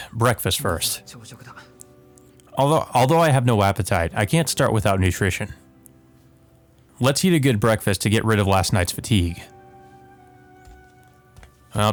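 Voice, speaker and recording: A man's voice: fairly low pitch, lively intonation, a little slow, pauses often. A man giving casual commentary and reading visual novel text aloud as a let's play host, with game music behind him. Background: video game music.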